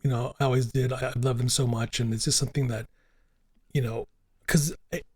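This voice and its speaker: Husky-voiced man, earnest podcast talk. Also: mid-range voice, flat delivery, fairly quick, a little mellow. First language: Italian and Spanish. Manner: earnest, emphatic, repeats himself for stress. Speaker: podcast co-host. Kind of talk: casual podcast chat.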